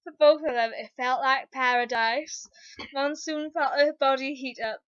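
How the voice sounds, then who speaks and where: A woman's voice: sits high, fairly even intonation, a little slow, lo-fi sound. A woman narrating fan fiction as a comic, theatrical dramatic reading.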